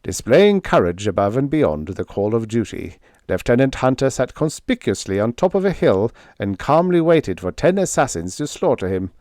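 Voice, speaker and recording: A man voicing a wry, stoic young space officer in an audiobook, calm and sober in manner. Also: mid-range voice, normal speed, hardly any pauses, dry recording, studio quality, lightly acted. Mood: wry, grim. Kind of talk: audiobook character voice.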